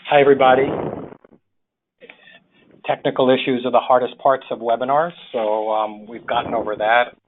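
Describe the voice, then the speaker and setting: A sixty-year-old man with a New York accent giving an informal slide presentation in a halting, reflective way as a webinar presenter. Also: mid-range voice, fairly even intonation, slight background noise. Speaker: webinar presenter, public health social worker. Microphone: phone or webinar call. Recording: thin phone-line webinar audio.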